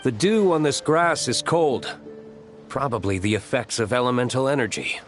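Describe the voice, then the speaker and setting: An observant young traveler from a video game, voiced by a man. He speaks in a mid-pitched voice that moves up and down expressively. He is thoughtful and measured and notices small clues. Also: expressive, faint music behind, close to an everyday voice. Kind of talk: game voice acting.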